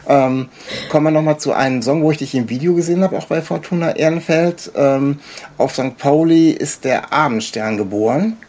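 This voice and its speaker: Husky-voiced man hosting a casual podcast. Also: a little high, normal speed, darkish tone. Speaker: podcast host, interviewer. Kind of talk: casual interview chat.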